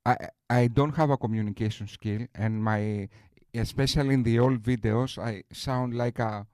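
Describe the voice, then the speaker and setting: A man's voice: mid-range voice, fairly even intonation, moderate pace, a little mellow, no echo, clean studio sound. Boastful rambling man on a stream. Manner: boastful, rambling with many restarts. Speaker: streamer host. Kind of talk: casual live-stream talk.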